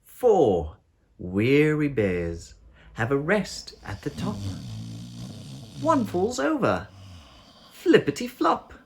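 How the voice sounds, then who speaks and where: A man narrates a picture book for young children at an extremely unhurried pace. His delivery is expressive and sing-song, swooping widely between low and high notes with deliberate phrasing. Faint ambient noise and effects sit behind his medium-pitched voice.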